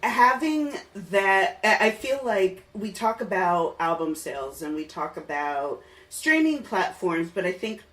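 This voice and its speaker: A man's voice: high voice, sing-song, a little slow, few pauses, a bit bright. A middle-aged man hosting a casual podcast interview, musing in a wordy way and building his points in loose steps. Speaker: podcast host interviewing a musician. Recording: roomy, distant mic, faint music.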